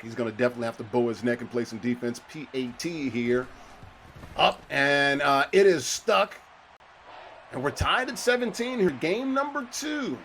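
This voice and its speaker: A middle-aged man doing play-by-play commentary on a football video game tournament, his voice jumping up with excitement on big plays. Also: on the high side. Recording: faint background noise.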